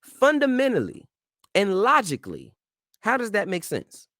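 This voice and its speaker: A man's voice: high voice, wildly swooping pitch, moderate pace, sharp tone, dry recording, clean studio sound. A man hosting his own show, giving casual, slang-filled advice in an emphatic, preachy way and talking straight to his listeners. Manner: informal slang-filled speech. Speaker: show host.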